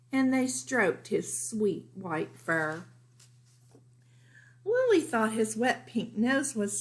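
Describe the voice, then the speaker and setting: A woman teacher reads a picture book aloud to young children. Her pace is very unhurried, with emphatic words and an informal storytelling style, in a medium-pitched voice.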